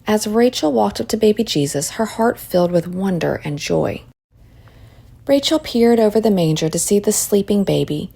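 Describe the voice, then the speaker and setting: A woman reading a children's story aloud in expressive narration. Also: mid-range voice, moderate pace, vocal fry, husky.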